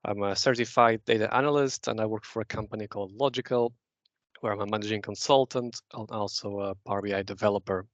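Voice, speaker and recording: Man, from Portugal, relaxed slide talk with many restarts, dry and a bit dull on a meeting call. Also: lowish voice, quick pace, dry recording. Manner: relaxed, explanatory, frequent "uh" restarts. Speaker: presenter at a user group.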